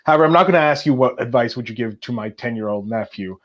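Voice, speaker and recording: A man's voice: medium pitch, fast talker, muffled recording. A man hosting a podcast, talking in a casual, conversational interviewing style. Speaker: podcast host.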